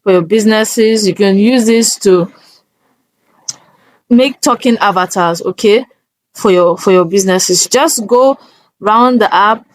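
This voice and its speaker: A woman talks through a casual screen tutorial at an unhurried, slow pace. Her voice sits at a middle pitch and sounds dry and close, and she guides step by step, often checking in. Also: dry recording, poor audio. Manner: instructive, often checking in with "okay?". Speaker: tutorial presenter.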